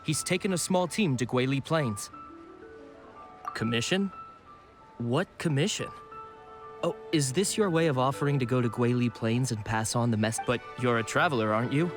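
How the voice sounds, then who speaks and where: A man plays a polite game official with a medium-pitched voice and formal, officious wording. He sounds mildly bemused, with soft music behind him. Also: moderate pace, close to an everyday voice. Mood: regretful, surprised. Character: courteous, officious male government clerk, mildly bemused. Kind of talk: video game voice acting.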